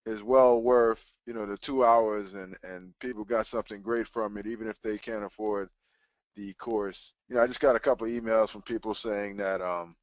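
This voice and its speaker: A man's voice: fairly low pitch, fairly even intonation, moderate pace, no echo. A man hosting a live webinar in a loose, chatty way, dotted with fillers. The audio is dry and muffled, like a compressed web stream. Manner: casual, uses fillers. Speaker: webinar host. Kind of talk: casual webinar chat.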